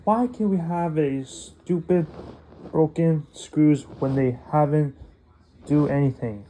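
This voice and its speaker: A man talking casually through an unboxing demonstration in halting short phrases with non-standard grammar. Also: a little high, mellow, slight background noise, low-quality recording. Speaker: unboxing video creator.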